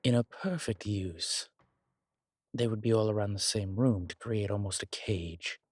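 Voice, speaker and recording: A man performs a world-weary male demon in an intimate horror roleplay. He talks very slowly in a lowish voice, picking through his thoughts with hesitant, dry wit. The sound is close and dry, as if speaking to one companion. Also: slightly rough, dry recording. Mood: pensive, wary. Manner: hesitant, measured, musing delivery with dry humour. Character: wry, world-weary male demon investigating a haunted house.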